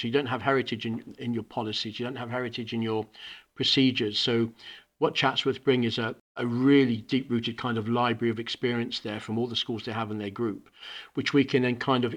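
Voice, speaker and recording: A man's voice: mid-range voice, steady tone, brisk, a bit bright. A middle-aged man, a founding school principal, talking earnestly in a conversational podcast interview, with many restarts and repeated words. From UK and Hong Kong.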